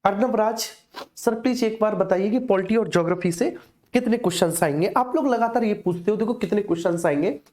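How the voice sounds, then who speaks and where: A man's voice: high voice, a little fast, darkish tone. A man teaching an informal live online exam class, instructing repetitively and often checking that students follow.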